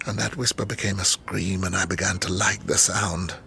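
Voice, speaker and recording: A middle-aged male university lecturer in a naturalistic radio drama, proud and embattled. His voice is fairly low and forceful, and he speaks in intense, articulate, rhetorical turns, both defensive and remorseful. There is faint rumbling noise behind him. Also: fairly even intonation, moderate pace, creaky, full character voice. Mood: remorseful, confessional.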